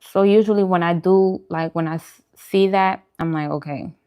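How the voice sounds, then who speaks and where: A woman's voice: on the low side, steady tone, moderate pace, smooth, highs cut off. A woman chatting casually on a livestream as host while she eats, informal and self-deprecating in her manner. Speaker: vlogger hosting a live mukbang stream.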